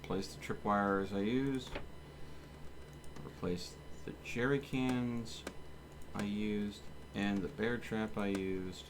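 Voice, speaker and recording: A man's voice: fairly low pitch, steady tone, slow, pauses often, noisy background. A man giving casual, blunt and grumbling live commentary while streaming a game. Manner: blunt, grumbling, mildly cursing.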